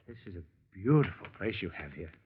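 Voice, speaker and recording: An actor playing an eager, scheming young talent manager, hesitant at first and then persuasive, in 1940s radio acting. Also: male voice, deep voice, sing-song, fairly quick, background noise. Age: young adult. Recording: dull, dry old radio recording.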